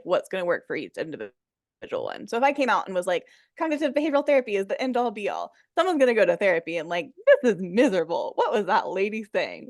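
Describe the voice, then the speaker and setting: A woman's voice: medium pitch, no echo, clean studio sound. A woman counselor, a guest on the show, talking casually and with enthusiasm, full of "like" fillers. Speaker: mental health counselor. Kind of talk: interview guest.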